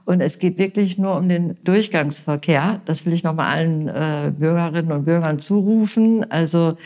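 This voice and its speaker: A woman from Westphalia answering interview questions as a candidate, earnest and thoughtful, often pausing with fillers and restarts. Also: low-pitched, mellow. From Westphalia, Germany. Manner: earnest, hesitant with many fillers. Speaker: mayoral candidate.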